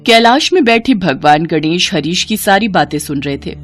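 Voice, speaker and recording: Lively woman narrating a moral story. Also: on the low side, moderate pace, soft background music.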